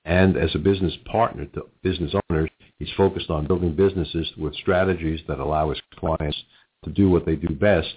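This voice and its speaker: Male host, middle-aged, New York accent, very low husky voice, business interview, thin phone-like sound. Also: steady tone, a little fast. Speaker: radio talk show host. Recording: muffled narrow phone-like audio.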